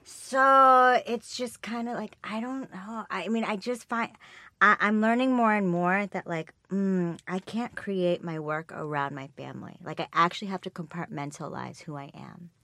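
A woman co-hosting a podcast in casual chat, frank and lively, sprinkling "like" and joking. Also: medium pitch, normal speed, no room sound. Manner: lively, frank, fond of "like" and mock voices. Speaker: podcast co-host.

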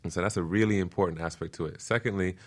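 A man's voice: sits low, fairly even intonation, very fast. A man speaking as an expert guest in a casual interview, explaining practical things earnestly and step by step, often checking in with "right?". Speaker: guest permaculture designer explaining his work.

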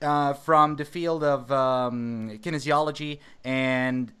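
A man hosting a casual podcast interview over an online call, speaking in a hesitant, halting way. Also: medium pitch, steady tone, bright, forceful tone, clean tone. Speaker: podcast host.

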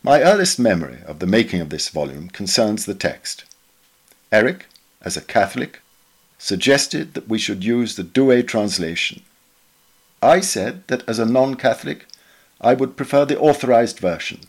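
Low-voiced man reading formally. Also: expressive, normal speed, breathy edge. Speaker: podcast reader. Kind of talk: scripted narration, reading a memoir article aloud.

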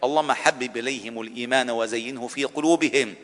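A man delivering the closing prayers of a sermon, measured and then fervent as he pleads. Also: medium pitch, fairly bright tone. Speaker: preacher. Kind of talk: Friday sermon closing supplication. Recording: long hall reverb.

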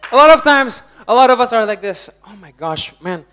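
High-voiced preacher. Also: male voice, a little slow, clean tone. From Indonesia. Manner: lively, jokey, laughs often, rises to shouts. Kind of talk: sermon to a live congregation.

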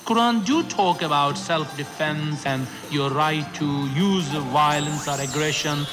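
Higher-pitched man giving testimony over music. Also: measured pace. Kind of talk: personal testimony.

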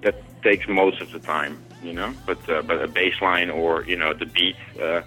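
Low man, bright tone, from the Netherlands, rambling casual talk, faint music behind. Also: moderate pace, poor audio. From Netherlands and South America. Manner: relaxed, rambling, many fillers and "you know". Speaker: music producer guest. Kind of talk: casual phone interview.

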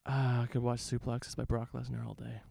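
A man's voice: medium pitch, monotone, fairly quick, vocal fry, dark tone, dry recording. Jokey male podcast host, dry close sound. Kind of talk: casual banter between co-hosts.

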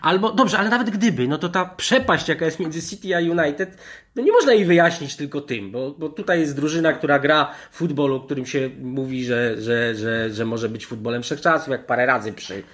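Digressive male football pundit, halting restarts. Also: on the high side, a bit bright. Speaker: football pundit on a panel show. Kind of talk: unscripted sports discussion.

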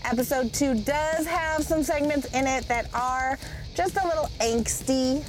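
A woman's voice: high-pitched, a little slow, poor audio. A woman hosting a podcast, opening the episode in an upbeat, chatty way over music. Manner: upbeat, chatty, self-described loud voice. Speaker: podcast host. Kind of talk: podcast intro.

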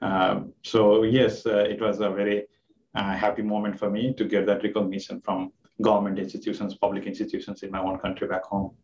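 A man from Nepal, an oncologist, answers interview questions in a soft, hesitant way. He speaks from a distance in an echoey room, and the remote call makes the sound dull. A faint noise runs underneath. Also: on the low side, flat delivery, fairly quick. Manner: soft-spoken, hesitant with many fillers. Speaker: oncologist guest.

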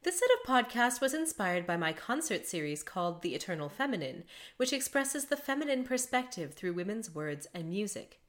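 A woman's voice: mid-range voice, sing-song, normal speed. A woman hosting a podcast in an easy, chatty manner, talking in an ordinary middle register. She breaks into laughter now and then as she tells her story. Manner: chatty, laughs often between facts. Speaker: podcast host and mezzo-soprano.

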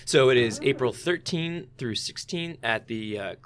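A man's voice: mid-range voice, expressive, a little slow, crowd noise. Guy being interviewed, eager, stumbles and restarts a lot, mid voice. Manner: enthusiastic, many restarts and fillers. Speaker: film festival director, guest. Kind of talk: interview answers.

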